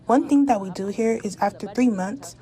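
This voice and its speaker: A woman's voice: medium pitch, normal speed, mellow. A woman teacher, explaining in an interview.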